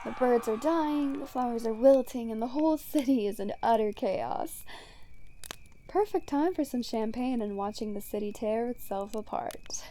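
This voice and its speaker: A sardonic female supervillain, acted by a woman in an audio roleplay, with a fairly high voice that stays soft and mellow. She taunts a hero in a teasing drawl, smug and casually foul-mouthed. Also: normal speed, a little hiss or hum, close to an everyday voice. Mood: smug, amused. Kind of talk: ASMR roleplay audio drama monologue.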